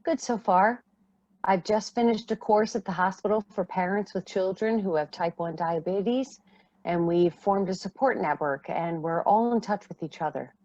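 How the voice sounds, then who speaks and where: A woman's voice: mid-range voice, moderate pace, darkish tone, no room sound, muffled recording, close to an everyday voice. A woman playing a devoted foster mother, a retired singer, in a radio play. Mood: grateful.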